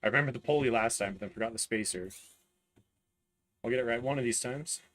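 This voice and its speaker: A hoarse man in his thirties livestreaming a printer build, talking haltingly as he searches for words and clears his throat. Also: mid-range voice, fairly bright tone. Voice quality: voice cutting out, clears throat often. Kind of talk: live build stream, casual talk while working.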